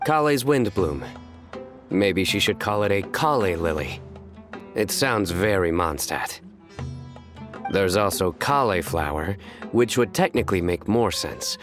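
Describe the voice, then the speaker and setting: A man playing a stern, earnest general in a video game who delivers bad jokes in a solemn, measured deadpan. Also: low-pitched. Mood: deadpan musing. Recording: faint music behind.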